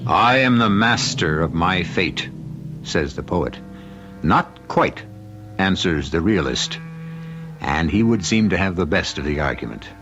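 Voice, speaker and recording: A suave old-time radio host narrates a mystery in a deep voice. The delivery is deliberate, wry and theatrical. The sound is muffled, with music underneath. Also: male voice, expressive. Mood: wry, philosophical.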